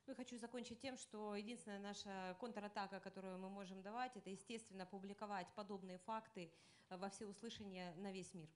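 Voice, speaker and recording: Female panelist, mid-pitched voice, measured talk with fillers. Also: fairly even intonation. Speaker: panel speaker. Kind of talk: conference talk.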